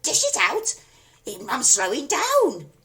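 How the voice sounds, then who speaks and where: A woman's voice: high-pitched, huge pitch swings, slow pace, fairly bright tone, pitched above the performer's own voice, full character voice. A woman voicing a screechy, gleeful old grandmother who shrieks and whoops. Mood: wild.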